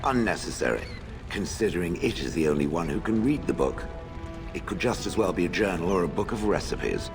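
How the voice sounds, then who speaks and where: An actor playing an ancient stone golem in a video game, sardonic and haughty, with dry, formal phrasing. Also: male voice, sits low, animated, fairly quick, over music. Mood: dismissive. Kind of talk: video game character acting.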